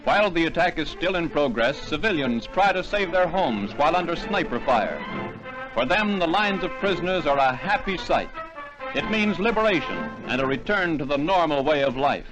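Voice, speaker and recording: A man's voice: fairly high pitch, lively intonation, normal speed, over music, rough recording. A man reading scripted 1940s newsreel narration in a formal announcer delivery, on a vintage soundtrack.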